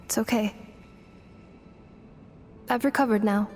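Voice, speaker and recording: Earnest, high-voiced robot girl. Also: fairly even intonation. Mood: reassuring. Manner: formal, measured, analytical wording. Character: earnest, analytical female robot searching for belonging. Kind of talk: video game voice acting.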